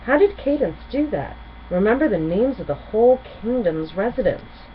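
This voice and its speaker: A woman's voice: medium pitch, very animated, moderate pace, dull audio, slight background noise, natural voice. Woman reading as earnest, unsure young pony princess. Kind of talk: children's storybook character reading.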